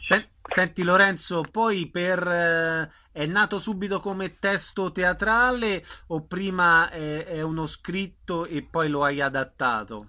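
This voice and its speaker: A man's voice: sits high, slow pace, hardly any pauses, sharp tone. A man hosting a radio interview, putting questions to guests in a conversational style.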